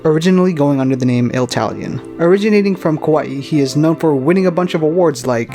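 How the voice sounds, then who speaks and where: A man's voice: on the high side, expressive, quick pace, warm tone. A man from Hawaii narrating a scripted music review with a wry, dry sense of humor. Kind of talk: scripted video-essay narration.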